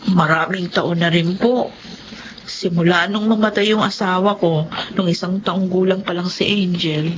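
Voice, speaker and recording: A woman's voice: fairly low pitch, fairly even intonation, normal speed, lightly acted. A woman playing a poor, humble widowed mother, devoted and pleading, in a radio drama. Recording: dull, noisy lo-fi recording.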